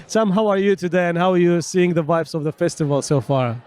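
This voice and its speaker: Higher-voiced man hosting a festival interview. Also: a little mellow, a little hiss or hum. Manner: wordy, halting questions in non-standard English. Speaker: podcast host, interviewer. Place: festival venue.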